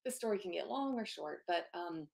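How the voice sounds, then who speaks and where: A soft-spoken woman in her thirties, a guest on a video call, telling her life story in an open, earnest way with lots of fillers. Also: lowish voice, lively intonation, moderate pace, vocal fry. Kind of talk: candid personal storytelling. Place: home office.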